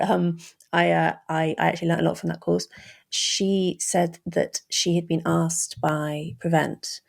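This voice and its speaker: A British woman hosting a podcast interview, talking conversationally in a measured, thoughtful way with some hesitant phrasing. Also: sits low, gravelly, a little mellow. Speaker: podcast host. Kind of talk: conversational interview, reflective anecdote.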